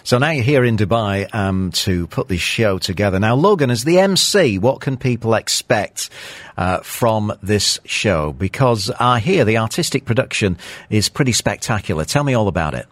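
Animated male radio host. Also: on the low side, moderate pace, dry recording. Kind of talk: live interview chat.

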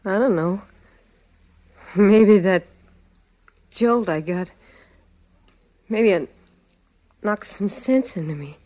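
An actress plays a hard, bitter American woman from a 1940s radio thriller. She speaks slowly in tough slang, at a medium pitch. The sound around her is very dry. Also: mellow, no room sound, natural voice. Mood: dazed, softened. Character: hard, bitter woman threatening a man with a gun. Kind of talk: 1940s radio drama acting.

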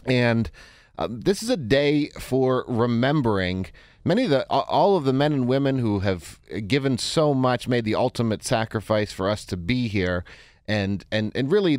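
A man with a hoarse, strained voice hosting a call-in advice show from a radio studio, coaching callers with direct questions. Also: mid-range voice, lively intonation, normal speed, dry recording. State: hoarse, voice strained from a neck injury. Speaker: radio call-in host and therapist.

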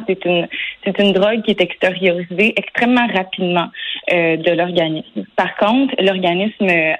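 A woman in her twenties with a Québécois French accent, a lawyer answering interview questions earnestly, often pausing with fillers and restarting phrases. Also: lowish voice, low-quality recording. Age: 20s. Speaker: lawyer guest.

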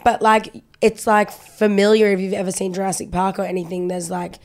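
A woman's voice: mid-range voice, little pitch movement, brisk, a little mellow. A woman co-hosting a podcast, chatty and a little sweary, sounding nasal from a cold. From Australia. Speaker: podcast co-host. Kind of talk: casual chat.

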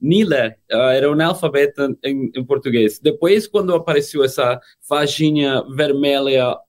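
A middle-aged man from Venezuela, a native Spanish speaker with a Spanish accent, talking as a podcast guest in a relaxed, good-humored way with frequent hesitations. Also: mid-range voice, moderate pace. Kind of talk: casual interview chat.

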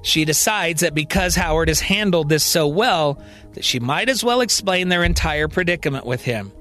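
A man's voice: on the high side, lively intonation, normal speed, pushed and bright. A man narrating a scripted science-fiction serial as a dramatic storyteller. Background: music.

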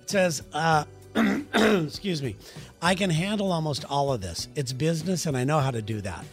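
A man co-hosting a podcast, reading a listener's letter and cracking teasing jokes as he goes. His voice sits a bit high and swings all over the place. Music plays underneath. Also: expressive, moderate pace. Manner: teasing, jokey, breaks into falsetto song. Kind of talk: joking podcast banter.

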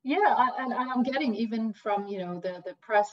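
A woman's voice: fairly high pitch, fairly quick. A woman born and raised in Iran, speaking as an interview guest, hesitant with many fillers and restarts. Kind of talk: conversational interview. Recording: dull lo-fi audio.

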